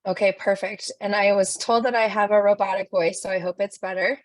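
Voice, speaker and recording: A woman's voice: mid-range voice, steady tone, brisk, no room sound. A woman facilitating an online workshop over a video call, upbeat and speaking with frequent um fillers. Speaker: webinar facilitator.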